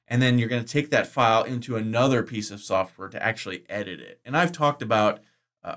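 A middle-aged man vlogging about course-making software, chatty and enthusiastic, his voice bass-boosted with the breaths filtered out. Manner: chatty, enthusiastic, loose with fillers and restarts. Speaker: online course creator. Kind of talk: casual explanatory vlog. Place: indoors, green-screen studio.

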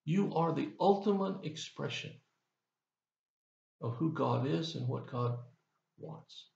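A man's voice: a little high, lively intonation, takes it slowly, gravelly, darkish tone, echoey room, highs cut off. An older man giving a folksy, conversational devotional as a pastor, asking the listener questions, recorded on a webcam in his office. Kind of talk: devotional sermon. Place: office, indoors, seated in leather chair.

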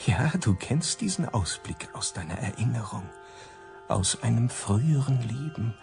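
An actor playing a calm, mysterious man in a radio play, guiding with measured, hypnotic phrasing. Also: medium pitch, creaky, over music.

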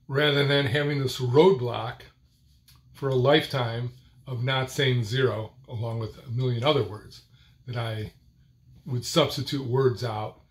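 An older man talks straight to the webcam in a small room at home. His pace is unhurried and measured, with deliberate pauses between short phrases and an occasional repeated word. His pitch sits in an ordinary middle range. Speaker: host of a video series on stuttering. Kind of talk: personal talk to camera.

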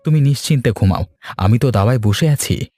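A man's voice: medium pitch, expressive, fairly quick, dark tone, no room sound, lightly acted. A man voicing a helpful, kindly young village fisherman in a cartoon story. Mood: reassuring. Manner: reassuring, friendly. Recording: music bed behind.

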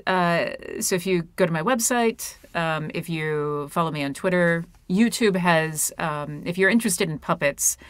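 A middle-aged woman chatting and explaining as an interview guest, animated, playful and self-deprecating. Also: sits low, no room sound. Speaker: guest puppeteer and author. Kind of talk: casual interview chat with explanations. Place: small room at home, video call.

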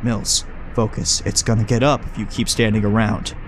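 A man's voice: lowish voice, flat delivery, normal speed, slight background noise, lightly acted. A man playing a wry, teasing creature that passes as a human mentor in a horror audio drama. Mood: coaching. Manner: wry, breezy, teasing, coaching. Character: flippant, chummy man who is secretly a swarm of bugs.

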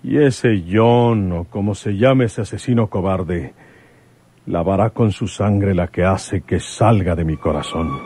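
An actor playing an older police commissioner in a radionovela, grieving and set on vengeance. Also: male voice, low-pitched, unhurried, mellow, slightly rough, no echo. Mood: grieving, vengeful. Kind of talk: radionovela acting.

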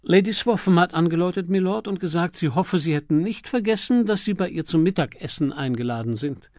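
Man voicing a dignified, stubborn manservant, pitched up. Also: animated, a little fast, darkish tone, dry recording, studio quality. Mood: deferential, formal. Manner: formal, respectful, firm. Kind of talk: audiobook character voice.

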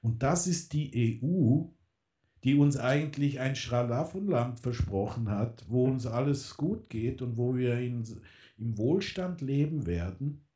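A man's voice: mid-range voice, expressive, unhurried, mellow. A man in casual political radio talk, rambling with many fillers and restarts. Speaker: guest.

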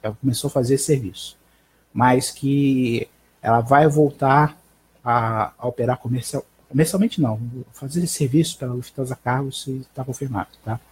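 A Brazilian man in his thirties with a husky voice, hosting a casual live news stream in a halting, informal way on a headset mic. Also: mid-range voice, low-quality recording. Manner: halting, informal, frequent check tags. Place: small bedroom at home. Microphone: headset microphone.

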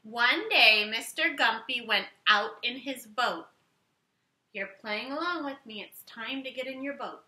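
A woman reads a picture book to young children in a high, very bright voice. She is expressive and deliberate, taking her time and guiding listeners to play along. The sound is roomy, recorded in a living room a little way from the camera. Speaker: read-aloud teacher for young children. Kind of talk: animated children's story read-aloud with play-along prompts. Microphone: camera a few feet away.

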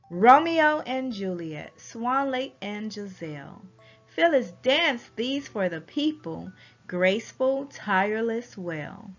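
A woman narrates a rhyming picture book for children at an unhurried pace. Her delivery is expressive, with animated rises and falls. The recording is slightly muffled, with music softly behind her. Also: a little high. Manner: expressive, deliberate storytelling delivery. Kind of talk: read-aloud rhyming children's story narration. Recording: dull lo-fi audio with music behind.

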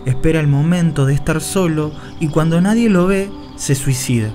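A man narrating a sad story in a solemn, measured, scripted way. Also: on the high side, warm tone, faint music behind.

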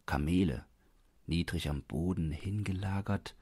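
A man narrates literary fiction for an audiobook. His voice is fairly low and he reads very slowly, in a scripted storytelling style. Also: a little mellow, slightly rough, no echo.

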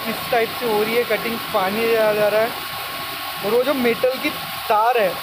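A young man with a very high-pitched voice hosts a casual vlog. He is inquisitive and echoes explanations back. He is recorded on a phone outdoors, with clear machinery and vehicle noise around him. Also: flat delivery, normal speed. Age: young adult. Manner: inquisitive, repeats what he is told back. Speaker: vlogger, host. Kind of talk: casual on-location vlog commentary and questions. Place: outdoors in an open stone quarry. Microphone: handheld phone at arm's length.

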